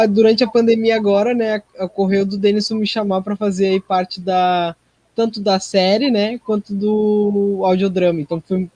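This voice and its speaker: A man's voice: sits high, a little mellow, no room sound, lo-fi sound. A man with a southern Brazilian accent from Rio Grande do Sul, chatting casually as an actor being interviewed.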